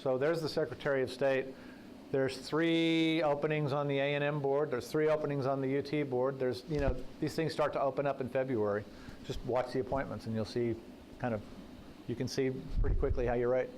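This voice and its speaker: A man's voice: medium pitch, steady tone, fairly quick, a little mellow, a little hiss or hum, poor audio. A man who is a political journalist, giving a conversational talk to a breakfast audience in a folksy, anecdotal way with joking asides. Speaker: guest speaker, political journalist. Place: breakfast event room.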